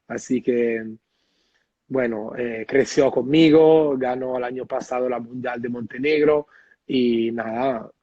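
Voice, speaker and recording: An Italian-accented man talks about his bar in an easy, halting way, with lots of "eh" and "¿no?". His voice is muffled and dry, with no room echo, as if he is on a video call. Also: medium pitch, darkish tone. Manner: hesitant, many fillers and tag questions. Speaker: bartender guest. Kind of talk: casual interview over video call.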